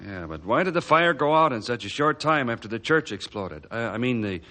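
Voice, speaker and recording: A gruff, suspicious middle-aged mayor in a radio adventure drama. His voice is bright and forceful, with big swings in pitch and a clipped, blustery delivery. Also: very animated, a little fast. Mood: bewildered, grudging. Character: gruff, stubborn, suspicious small-town mayor.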